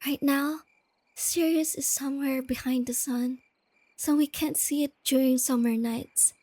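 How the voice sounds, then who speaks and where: A woman playing a shy, nerdy young girlfriend in a soft-spoken ASMR roleplay, sweet and earnest, explaining things gently and without hurry. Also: high voice, fairly even intonation, measured pace, no echo. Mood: playful, fond.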